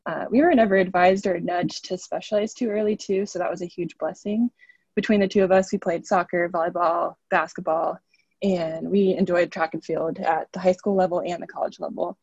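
Husky young woman, chatty and upbeat. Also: on the low side, darkish tone, dry recording, muffled recording. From Oregon. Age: 20s. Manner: chatty and upbeat, laughs often. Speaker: student athlete guest. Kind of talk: podcast interview.

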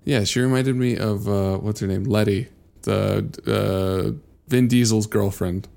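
A man with a fairly low voice chats as a podcast co-host. He tells stories in an animated, informal way and swears casually as he goes. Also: measured pace, gravelly. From born in Venezuela, left in infancy. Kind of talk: casual chat, anecdotes.

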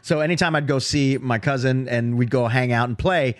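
A man's voice: mid-range voice, normal speed, no room sound. A man co-hosting a podcast, telling stories in a casual comedic way and acting out the voices of people in them. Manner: animated, acts out voices in his stories. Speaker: podcast co-host.

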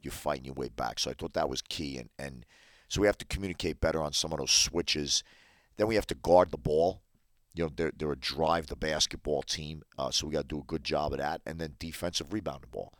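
A man's voice: a bass voice, brisk, no room sound, studio quality. A man, a basketball coach on a podcast, explaining his game plan methodically point by point. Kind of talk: podcast interview.

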